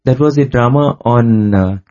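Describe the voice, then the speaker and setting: A man's voice: mid-range voice, unhurried, darkish tone, highs cut off. A man interviewing a guest, attentive and chiming in with short affirmations. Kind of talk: conversational interview.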